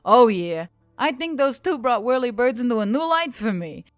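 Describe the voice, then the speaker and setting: Chirpy, animated adventurer woman, acted by a narrator. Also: fairly high pitch, normal speed, no echo, faint music behind, voice pushed up. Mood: cheerful. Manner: chirpy, exclamatory. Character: cheerful, chirpy female adventurer, playfully indignant.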